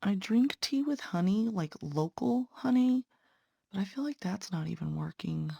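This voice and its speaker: A woman's voice: medium pitch, expressive, measured pace, vocal fry, dark tone, dry recording. A young woman, a patient care tech and nursing student, chatting earnestly to viewers while stitching, sounding congested. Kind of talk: casual chat while stitching.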